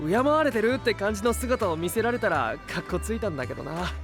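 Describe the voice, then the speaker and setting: A man's voice: sits high, big pitch swings, fast talker, over music. A man playing an earnest, trusting young knight hero in an anime-style voice drama, speaking with friendly sincerity. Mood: earnest, eager.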